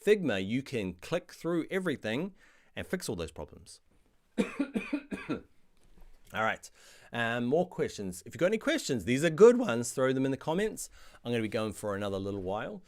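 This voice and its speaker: A middle-aged man with a New Zealand accent, answering viewer questions in a casual live stream about design software. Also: mid-range voice, moderate pace, long pauses. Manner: chatty, reads viewer questions aloud, animated asides. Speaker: online design course instructor.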